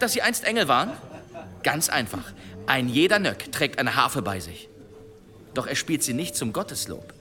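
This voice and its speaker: An actor in a radio play voicing a wandering teller of demon tales, theatrical and suspenseful with dramatic pauses. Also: male voice, medium pitch, close to an everyday voice. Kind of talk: radio play acting, tavern storytelling.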